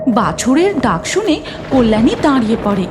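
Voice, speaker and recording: Lively woman narrator over music. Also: fairly high pitch, normal speed. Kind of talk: scripted cartoon story narration.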